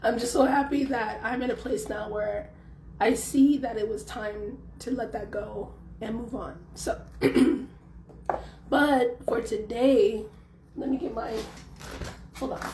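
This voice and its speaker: A young woman with a hoarse, worn-out voice, chatting casually through a tarot reading and leaning on lots of fillers. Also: fairly high pitch, steady tone, slow pace, darkish tone. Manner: chatty, loose, filler-heavy. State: hoarse, losing her voice. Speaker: tarot reader. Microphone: phone. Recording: echoey room, faint background noise.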